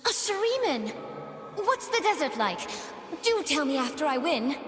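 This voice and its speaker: An actress voicing a cheerful, curious young doll-like seamstress heroine for a video game, with prim, wondering, theatrical sweetness. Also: female voice, high voice, big pitch swings, normal speed, soft background music. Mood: delighted, curious. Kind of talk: video game character voice lines. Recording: game audio with long hall reverb.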